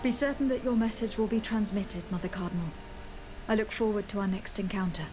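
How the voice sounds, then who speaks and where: A woman's voice: mid-range voice, brisk, close to an everyday voice. An actress playing a courteous young noblewoman on a diplomatic errand in a video game, formal and composed. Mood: polite, composed. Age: young adult. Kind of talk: video game dialogue acting. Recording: game dialogue under music.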